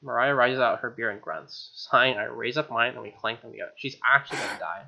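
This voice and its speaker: A man's voice: medium pitch, quick pace, gravelly, bright, forceful tone, highs cut off. A man hosting a let's play, reading game text expressively with wry, casual asides.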